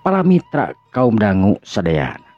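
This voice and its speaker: A man with a Sundanese accent and a gravelly, husky voice, narrating a tale in the manner of a radio storyteller. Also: on the low side, huge pitch swings, moderate pace. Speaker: storyteller and channel host. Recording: dull lo-fi recording with music. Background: music.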